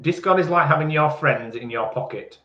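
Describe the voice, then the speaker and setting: A man's voice: on the high side, moderate pace. A man in his forties co-hosting a live stream, teasing his partner in casual banter and reading out viewer comments. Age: 40s. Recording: echoey, slightly dull live stream sound.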